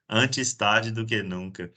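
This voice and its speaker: Bright-voiced Brazilian man hosting a call. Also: fairly low pitch, slight background noise. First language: Portuguese. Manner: chatty, repeats words when starting sentences. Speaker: meeting host. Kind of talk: casual conversation on a video call. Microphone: video call.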